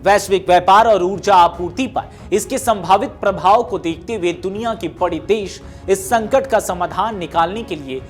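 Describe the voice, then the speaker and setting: A woman reading scripted news narration in a formal, measured newsreader delivery. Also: mid-range voice. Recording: music behind the voice. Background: background music.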